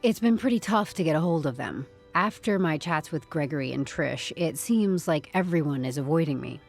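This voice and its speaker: A woman's voice: deep voice, lively intonation, normal speed, vocal fry, soft background music. An actress playing a wry, uneasy woman from HR turned amateur sleuth in an audio drama, with an awkwardly breezy manner. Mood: wry, probing. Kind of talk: podcast audio drama acting.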